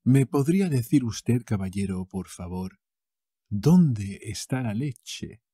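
A man from Spain with a Castilian Spanish accent, teaching a language lesson, saying each phrase slowly and repeating it with variants. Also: mid-range voice, animated, mellow, breathy edge, no echo, clean studio sound.

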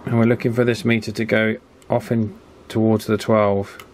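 A man's voice: fairly low pitch, little pitch movement, moderate pace, darkish tone. A man giving a casual hands-on electronics tutorial, thinking aloud informally as he works at an indoor workbench. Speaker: electronics hobbyist demonstrating radio alignment. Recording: clear background noise from workbench.

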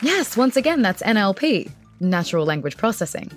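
A woman's voice: mid-range voice, big pitch swings, fairly quick, faint music behind. A woman presenting theatrically as a game show host.

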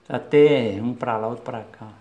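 A man's voice: medium pitch, normal speed, lo-fi sound. A man in his fifties with a Brazilian Portuguese accent, chatting casually to viewers while doing a home repair job, filmed close on a phone in a small kitchen. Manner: chatty, talks to viewers mid-task. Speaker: home DIY vlogger. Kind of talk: casual how-to narration while working. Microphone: handheld phone camera, close. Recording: echoey tiled room, faint noise.

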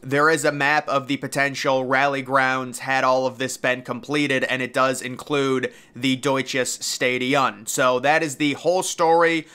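Bright-voiced man explaining history. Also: mid-range voice, little pitch movement, measured pace, few pauses, smooth. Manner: conversational, informal asides. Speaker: video narrator. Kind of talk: casual explanatory commentary over historical images.

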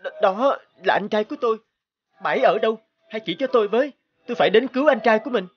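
A man's voice: sits high, quick pace, lo-fi sound, natural voice. A man voicing a brave, dutiful young prince in a cartoon fairy tale, earnest and polite. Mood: urgent.

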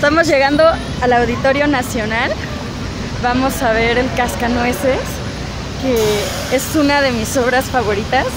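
A woman's voice: high-pitched, a little slow, poor audio. A woman in her thirties with a Mexican accent, chatting enthusiastically to a handheld phone as she vlogs through a market and the city at night. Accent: Mexican Spanish. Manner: chatty, enthusiastic, laughs often. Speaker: vlogger. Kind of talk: casual handheld vlog. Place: busy indoor market, then city street at night. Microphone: phone held at arm's length. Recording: phone audio with loud crowd and music. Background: loud crowd and music.

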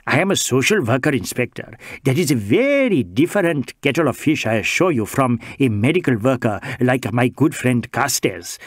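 A man's voice: mid-range voice, no room sound, studio quality. A man voicing an anxious, wordy middle-aged male social worker, pleading and flustered.

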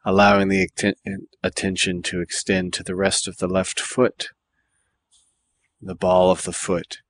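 Meditation guide: male, low register, calm and deliberate, leaving space between phrases. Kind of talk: guided body scan meditation.

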